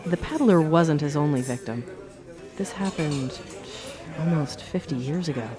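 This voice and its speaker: An actor playing a small-town bartender in a radio play, recounting a local legend in a measured, ominous manner. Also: mid-range voice, expressive, over music, close to an everyday voice. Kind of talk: dramatic storytelling in a radio play.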